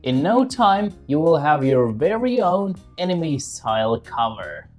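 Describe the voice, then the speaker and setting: Young man presenting with lively, swinging intonation. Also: fairly high pitch, a little slow, soft background music. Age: 20s. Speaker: tutorial presenter. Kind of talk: scripted tutorial narration to camera.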